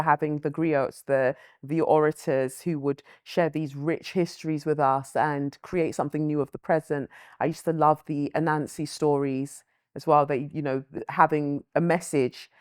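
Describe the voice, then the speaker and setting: A woman's voice: low-pitched, no room sound. Thoughtful woman, dry close sound. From born in Nigeria, raised in London. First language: Yoruba. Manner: thoughtful, measured, with frequent "um" and "you know". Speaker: author and guest. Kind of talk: reflective interview answers.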